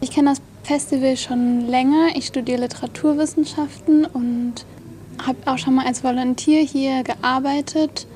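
A woman in her twenties, a festival intern, answering radio interview questions in a soft, reflective way. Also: high voice, fairly even intonation, moderate pace, a little hiss or hum. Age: 20s.

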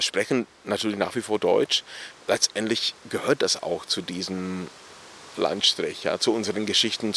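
A man's voice: fairly low pitch, creaky, pushed and bright, a little hiss or hum. A man from the Czech Republic with a Czech accent, talking about his writing in a reflective, hesitant way full of fillers. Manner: thoughtful, hesitant, many fillers. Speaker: author. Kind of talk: relaxed reflective interview talk. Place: outdoors on a cemetery bench.

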